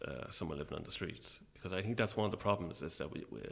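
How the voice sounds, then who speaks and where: A very low-voiced Irish man with a creaky, gravelly edge gives a relaxed interview. He speaks hesitantly, with dry, self-deprecating humour. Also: very fast. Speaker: playwright interviewed as guest. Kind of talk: casual interview chat.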